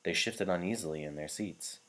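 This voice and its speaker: A man reading a novel aloud in an expressive narrating style, like a teacher to a class. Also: low-pitched, fairly quick.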